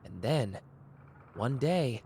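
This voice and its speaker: A man voicing a sharp, playful young detective in an ASMR roleplay, teasing and quick-witted. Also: medium pitch, lively intonation, vocal fry, a little hiss or hum, heavily acted. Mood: dark. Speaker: voice actor.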